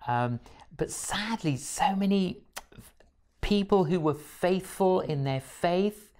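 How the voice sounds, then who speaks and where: An older man hosting an interview in a conversational, deliberate way, repeating phrases for emphasis and readily agreeing. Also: high voice, animated, a little mellow. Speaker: interview host. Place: small indoor studio room.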